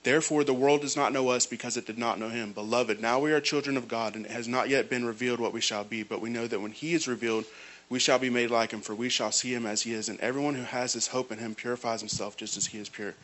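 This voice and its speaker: A man's voice: medium pitch, steady tone, fast talker, fairly bright tone, rough recording. A man giving an earnest personal testimony and reading scripture, stumbling on restarts now and then. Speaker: ministry program resident giving testimony.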